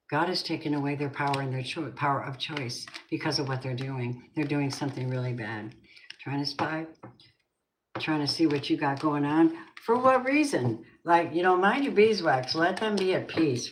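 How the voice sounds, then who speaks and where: An older woman doing a live tarot reading, chatty and rambling, warmly calling her viewers babies. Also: low voice. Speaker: tarot reader. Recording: noisy home stream with clicks.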